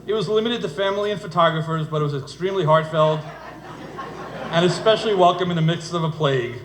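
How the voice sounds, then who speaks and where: A man's voice: on the high side, quick pace. A middle-aged man giving a humorous wedding speech in a storytelling way, with dry asides, as father of the bride. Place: indoor wedding reception venue. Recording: echoey room, noisy event ambience.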